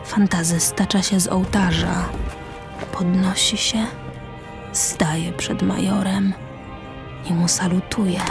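A woman narrates a scripted radio play over music. Her mid-pitched voice moves slowly through short, measured phrases of stage direction. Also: little pitch movement. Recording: music behind the voice.

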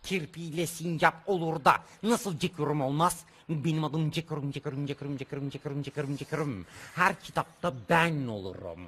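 An actor voicing a stubborn, self-important, childish bug puppet in a children's TV show, repeating himself insistently in a husky voice. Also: male voice, a little high, fairly quick, hardly any pauses, fairly bright tone. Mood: indignant. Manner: repetitive, insistent, turns phrases around.

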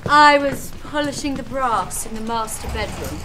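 A woman's voice: fairly high pitch, moderate pace, fairly bright tone, slight background noise, natural voice. A young woman playing a prim housemaid questioned in a comic murder mystery, theatrical in manner. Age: young adult.

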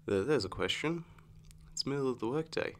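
A man acting a weary, easygoing werewolf boyfriend in an ASMR audio roleplay, speaking in a halting, casual, understated way with dry affection. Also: medium pitch, animated, natural voice. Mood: relaxed, curious. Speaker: roleplay voice actor. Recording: clearly audible background hum.

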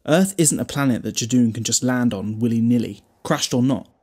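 A man's voice: medium pitch, brisk, mellow, natural voice. A British man playing a glib, protective time-travelling hero in an audio drama, wry and chatty with a scolding streak. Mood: mocking, stern. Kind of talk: fan audio drama acting.

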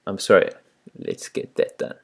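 A man with a South African accent, chatting casually and a little self-deprecatingly as he walks through a screen recording. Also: moderate pace. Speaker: channel host.

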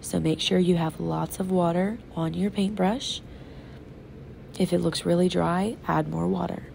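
Woman art teacher, stuffy and hoarse from a cold, low dark voice, gentle step-by-step instruction. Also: fairly even intonation, a little slow, slight background noise. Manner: patient, instructive, talks while working. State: sick, congested and sore-throated. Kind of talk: step-by-step art demonstration for young kids. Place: classroom table. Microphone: off-camera voice over an overhead tabletop camera.

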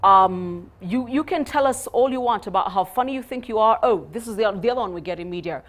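A woman's voice: mid-range voice, a little fast. A middle-aged woman from Kenya, a guest speaker on a studio panel, giving career advice in short, punchy, emphatic phrases on a lapel mic. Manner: emphatic, punchy, animated gestures. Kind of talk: motivational panel talk. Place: studio set.

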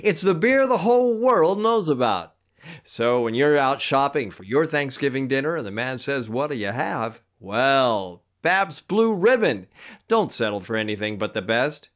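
A man playing an upbeat 1950s radio announcer, reading scripted sponsor copy and credits in a smooth selling style. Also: medium pitch, big pitch swings, a little slow.

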